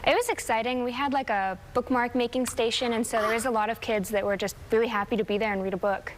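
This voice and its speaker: A young woman in her late teens answering casually and haltingly as an interview guest, modest and a little giggly. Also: a little high, steady tone. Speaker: high school student guest. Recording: faint background noise.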